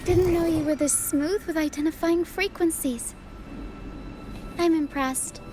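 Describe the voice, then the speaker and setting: A woman playing a calm, quirky young female adventurer in a video game, measured and gently playful. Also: very high voice. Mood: musing. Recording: loud game music behind the voice. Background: game music.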